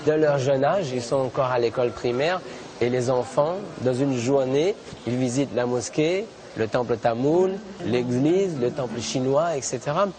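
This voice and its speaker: A man serving as an imam, calmly explaining customs inside his mosque. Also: mid-range voice, slight background noise. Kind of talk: explanatory talk to a visitor.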